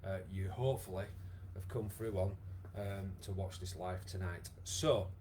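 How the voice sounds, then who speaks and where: A man with a croaky voice, leading a live online exercise class with many fillers. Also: sits low, fairly even intonation, a little slow, a little mellow, slight background noise. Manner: chatty, encouraging, lots of fillers. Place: home room with wall and bar. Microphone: device camera, moves away at times.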